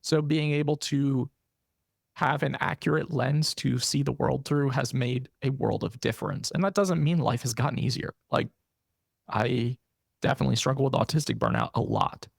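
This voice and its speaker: A man's voice: mid-range voice, lively intonation, normal speed, vocal fry, no echo, clean studio sound. A man hosting a podcast, talking in an earnest, personal way and often checking in with "right?". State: briefly losing his voice. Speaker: podcast host. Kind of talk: casual interview conversation.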